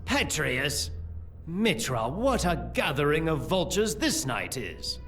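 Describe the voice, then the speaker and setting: An actor playing a scheming, gloating villainous priest in an audio drama, with theatrical, drawn-out exclamations. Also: male voice, on the high side, big pitch swings, a bit bright, recorded from a distance, faint music behind, heavily acted. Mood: amused contempt.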